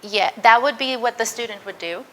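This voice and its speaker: Bright-voiced woman teaching a workshop. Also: fairly high pitch, a little fast, a little hiss or hum. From Mexico. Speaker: university professor. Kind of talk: interactive workshop presentation.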